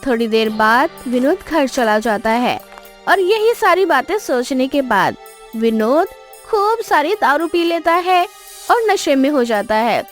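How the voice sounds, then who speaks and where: A woman's voice: high-pitched, lively intonation, a little slow, faint music behind. A woman narrating a scripted dramatic moral story as its storyteller.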